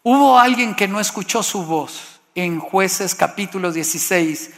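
Male preacher, from Costa Rica, high voice, impassioned sermon, long hall reverb. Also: animated, a little slow. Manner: impassioned, rising to exclamations.

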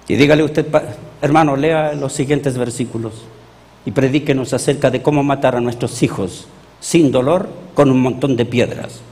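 A Chilean man, a pastor, preaching a sermon with animated rhetorical questions to his congregation. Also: medium pitch, normal speed, big-room sound.

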